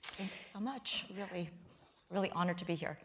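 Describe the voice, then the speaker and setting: A woman's voice: fairly low pitch, a little fast. Candid middle-aged woman at a live talk. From United States, Midwest. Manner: candid and self-deprecating, with frequent restarts. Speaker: guest author and law professor. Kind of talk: conversational interview on stage. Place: talk recorded before a live audience.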